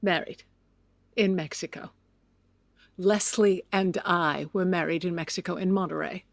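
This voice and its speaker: An actress playing a wealthy, haughty 1920s widow with an arch, sardonic manner in an audio drama. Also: female voice, on the low side, fairly even intonation, measured pace, creaky, dry recording. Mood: stunned. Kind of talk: period audio drama acting.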